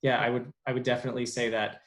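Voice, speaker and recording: A young adult man as an interview guest, talking casually with many pauses and restarts. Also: mid-range voice, flat delivery, fairly bright tone. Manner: halting, lots of ums and restarts. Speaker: interview guest, bilingual speech therapist.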